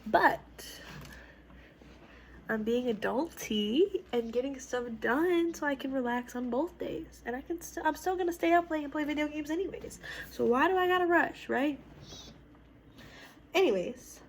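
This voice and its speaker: A young woman in her twenties talking casually and rambling at home, slightly hoarse from a cold. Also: high voice, measured pace. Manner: rambling, chatty, self-correcting, laughs often. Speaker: vlogger. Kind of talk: casual chat. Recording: clear background noise.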